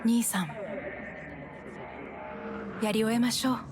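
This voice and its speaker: An actress playing a poised, proud corporate heiress in a video game, speaking in a refined and firm manner. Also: female voice, on the high side, steady tone, moderate pace, crowd noise, close to an everyday voice. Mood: resigned. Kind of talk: cinematic video game acting.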